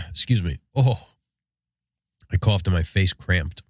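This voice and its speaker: A man's voice: low voice, takes it slowly, gravelly, a bit bright, dry recording, studio quality. An American man co-hosting a podcast in casual, laid-back shop talk, sounding congested from a sinus infection. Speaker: podcast co-host.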